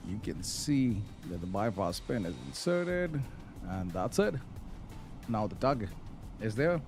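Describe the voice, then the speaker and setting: A man giving casual live commentary on a flight simulator, explaining step by step with hesitant pauses. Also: fairly low pitch, sing-song, darkish tone, faint music behind.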